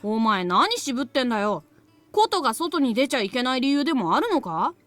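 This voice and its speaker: A brash young anime boy, very high and talking extremely fast. He is blunt and impatient, like a pushy kid in a visual novel. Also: sing-song, a bit bright, dry recording, faint music behind. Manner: blunt, rough-spoken. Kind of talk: Japanese visual novel voice acting.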